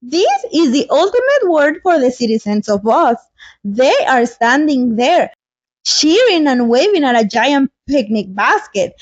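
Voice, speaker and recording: A woman's voice: sits high, no echo, muffled recording. A woman hosting a read-along English lesson, narrating and chatting in a playful, dramatic, slangy way.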